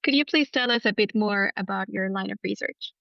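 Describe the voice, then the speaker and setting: A woman's voice: mid-range voice, a little fast, creaky, no echo, dull audio. A woman hosting a science podcast, reading a scripted intro and asking interview questions. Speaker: podcast host.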